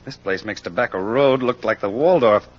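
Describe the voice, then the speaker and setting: An actor playing a shrewd, persistent American detective in an old radio crime drama, probing and assured. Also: male voice, fairly low pitch, moderate pace, slightly rough. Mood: insistent. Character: shrewd, persistent male amateur sleuth questioning suspects. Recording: dull lo-fi old radio recording.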